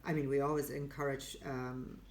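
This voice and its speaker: A woman hosting a casual live chat, chatty and quick to agree. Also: rumbling low, flat delivery, a little mellow, slight background noise. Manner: chatty, lots of agreeing backchannels. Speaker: health store owner, host.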